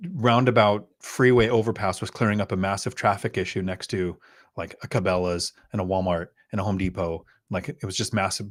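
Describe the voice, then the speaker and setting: Blunt, lower-voiced investor man. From Thailand. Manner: emphatic, instructive, blunt. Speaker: guest real estate investor. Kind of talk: casual podcast advice.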